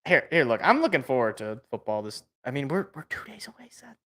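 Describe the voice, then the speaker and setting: Excitable man with a worn-out voice. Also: medium pitch, fairly quick. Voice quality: loud voice. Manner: excitable, repeats words when worked up. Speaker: podcast co-host. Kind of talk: casual sports chat.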